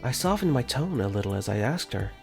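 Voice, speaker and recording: A young man voicing an earnest, caring dorm caretaker for a fan dub, gently narrating his own thoughts in first person. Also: medium pitch, very animated, fairly quick, gravelly. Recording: faint music under the voice.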